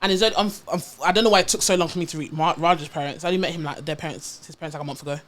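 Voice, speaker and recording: Female, 20s. Lower-pitched voice, casual podcast co-host chat. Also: fairly even intonation, rapid-fire.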